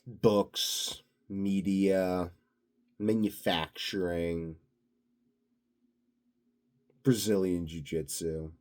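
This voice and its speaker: A man's voice: low voice, fairly even intonation, drawn-out words, pauses often, a little mellow, clean tone. A man delivering a rambling, unscripted vlog monologue as a self-styled expert, grandiose and self-assured, musing aloud. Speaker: self-styled health and sleep expert vlogger.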